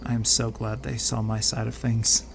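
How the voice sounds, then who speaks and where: An actor playing a smug, menacing crime lord in an audio drama, pompous and taunting with drawn-out mock politeness. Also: male voice, on the low side, completely flat delivery, vocal fry, background noise. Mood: smug, gloating. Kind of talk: audio drama villain acting.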